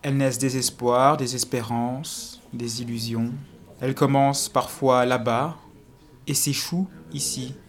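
A man narrating a radio documentary in a measured, soft delivery. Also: mid-range voice, steady tone.

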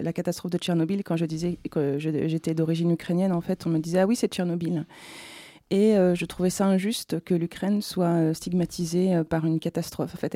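A woman being interviewed as a novelist, telling their story conversationally in a hesitant, halting way. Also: fairly low pitch, mellow, dry recording, studio quality. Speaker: novelist interviewed about their first book.

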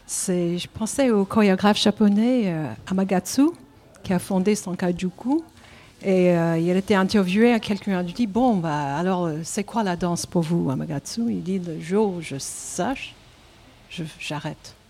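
A woman's voice: on the low side, measured pace, warm tone. A woman artist speaking reflectively as a guest in a panel discussion.

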